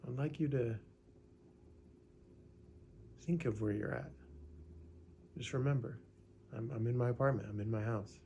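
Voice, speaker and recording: A man leading a guided gratitude meditation in a relaxed, conversational way, often adding "right?" and small fillers. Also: medium pitch, fairly quick, slight background noise.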